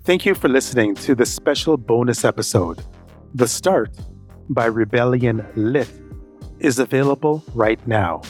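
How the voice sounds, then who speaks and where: A man's voice: medium pitch, unhurried, a little mellow, faint music behind. A man hosting a podcast, speaking directly to his guest and listeners. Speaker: podcast host. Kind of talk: podcast hosting and scripted outro.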